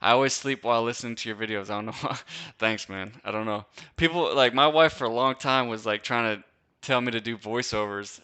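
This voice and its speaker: Bright, crisp-voiced laid-back guy. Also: mid-range voice, fairly quick. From Wisconsin, USA. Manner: laid-back slangy chat, lots of "dude" and "bro", frequent laughs. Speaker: host. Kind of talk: casual live-stream Q&A.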